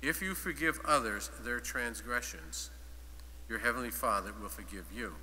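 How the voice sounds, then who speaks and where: A man reading the gospel at Mass in a steady, formal voice. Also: mid-range voice, normal speed. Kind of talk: liturgical reading. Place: large church. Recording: long church reverb, faint hum.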